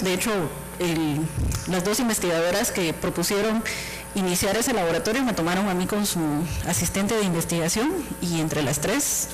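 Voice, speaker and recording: A woman from Guatemala speaks earnestly and with conviction as a panelist at a university talk. Her voice sits at an ordinary pitch, with a faint hum under the recording. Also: rough recording. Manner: earnest, idealistic. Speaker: panelist professor.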